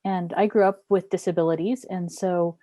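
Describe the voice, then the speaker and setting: A woman's voice: on the low side, steady tone, moderate pace, warm tone, no echo, clean studio sound. A woman giving a composed personal introduction as a panelist. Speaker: museum accessibility head, panelist.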